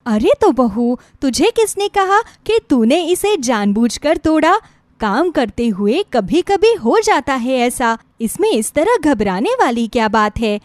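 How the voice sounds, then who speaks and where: A woman voicing a gentle, caring middle-aged mother-in-law, reassuring and motherly, in a moral story. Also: sits high, very animated, measured pace, no room sound. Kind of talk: Hindi moral story acting.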